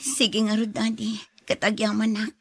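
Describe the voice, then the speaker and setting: A woman's voice: medium pitch, completely flat delivery, normal speed, creaky, fairly bright tone, breathy edge, no echo. An actress playing a worn-down young wife and mother who pleads, in a Filipino radio drama with a Filipino accent. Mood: weary, pleading.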